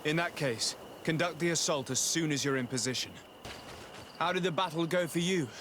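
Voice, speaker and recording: A man playing a composed noble diplomat and tactician in a video game, speaking formally and in a measured way. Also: fairly high pitch, close to an everyday voice. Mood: courteous. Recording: outdoor ambient noise behind voice.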